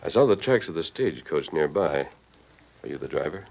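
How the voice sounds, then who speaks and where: An actor playing a steady, kindly masked western hero in an old radio drama, firm, reassuring and commanding. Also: male voice, very deep voice, a little fast, gravelly, exaggerated voice. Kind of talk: old-time radio acting. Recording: muffled, faintly noisy old radio recording.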